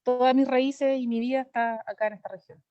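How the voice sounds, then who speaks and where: A woman's voice: sits high, steady tone, fairly quick, dark tone, no room sound, dull audio, faint music behind. A Chilean woman in her thirties, a lawyer and candidate, giving long, earnest answers in an interview over a video call. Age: 30s. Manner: earnest, fluent, gives long detailed answers.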